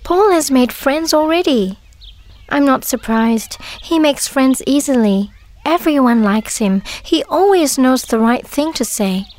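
A woman voicing a shy, lonely young girl in an audiobook story, wording each line clearly and expressively. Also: sits high, animated, measured pace, darkish tone. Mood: dejected. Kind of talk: dramatised audiobook dialogue for learners.

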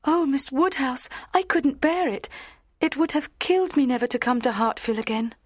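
A woman's voice: sits high, expressive, moderate pace, dry recording, studio quality. An actress playing a shy, naive, anxious young girl in a storybook drama, deferential and uncertain. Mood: wistful.

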